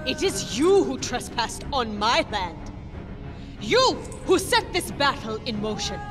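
Slow, imperious villainous warrior queen. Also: sits high. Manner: grand, declamatory, deliberate. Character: imperious villainous warrior sorceress ruler, proud, wrathful, contemptuous. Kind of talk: theatrical video game villain acting.

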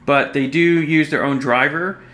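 An American man in his forties from Texas giving a casual, halting but enthusiastic product overview to camera. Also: a little high, steady tone, a bit bright. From Texas, United States. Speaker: audio shop presenter. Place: small indoor room, standing behind display table. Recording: roomy echo, faint music.

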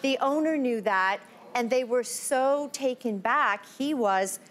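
A woman in her forties, a talk show co-host, telling a lively personal story in casual chat on a studio panel. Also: on the high side, takes it slowly. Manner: animated, demonstrative storyteller. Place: TV studio with live audience. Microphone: lapel mic. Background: studio audience.

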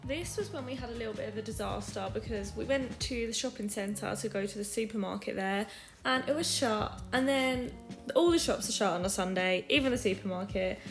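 A woman's voice: medium pitch, brisk, soft background music. A woman in her twenties chatting informally about her holiday for a vlog. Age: 20s. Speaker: vlogger. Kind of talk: casual holiday vlog chat.

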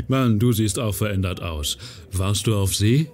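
Man acting an animated, affable small-time hustler. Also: on the low side, takes it slowly, husky, full character voice. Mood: friendly. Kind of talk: fan audio drama acting.

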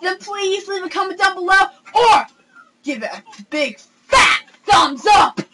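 A young man hosting a console game let's play from his room, excitable and gasping, with hesitant fillers. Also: very high voice, a little slow, noisy background. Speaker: gaming channel host. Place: home room with console screen.

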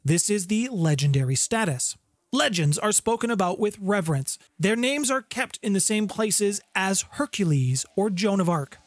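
A man's voice: sits high, animated, normal speed, dry recording. A middle-aged man presenting a scripted explainer on game lore, with playful, theatrical emphasis, close on a studio mic. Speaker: host of a tabletop game lore channel. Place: home room with guitars, indoors. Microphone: close studio condenser mic with pop filter. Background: background music.

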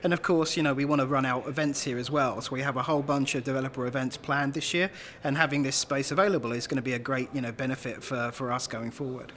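A man in his thirties talks in an informal interview as a company representative. He moves along quickly, with a voice of average male pitch. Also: steady tone, fairly bright tone, a little hiss or hum. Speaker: developer programme representative. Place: large open-plan office, indoors.

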